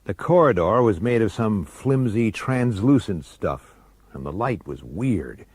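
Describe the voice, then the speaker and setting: An actor playing a hard-bitten, resentful police detective who narrates in a gruff, sardonic, irritable way in a radio drama. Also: male voice, on the low side, lively intonation, a little slow, a little mellow, no room sound. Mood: uneasy, bitter. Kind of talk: radio drama acting with first-person noir narration.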